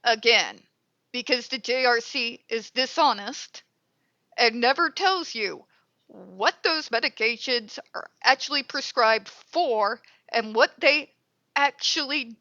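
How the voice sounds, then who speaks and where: A woman's voice: fairly high pitch, sharp tone, dry recording, dull audio. A congested, stuffed-up woman hosting her own commentary channel, talking bluntly and with exasperation through a headset and swearing freely. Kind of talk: ranting commentary.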